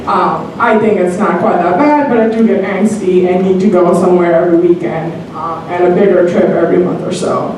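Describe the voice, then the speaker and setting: A woman's voice: lowish voice, steady tone, brisk, few pauses, a little mellow, a little hiss or hum. Earnest woman telling a story live. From Bangladesh. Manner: earnest, hesitant, many ums. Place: comedy theater stage. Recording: echoey theater from far off.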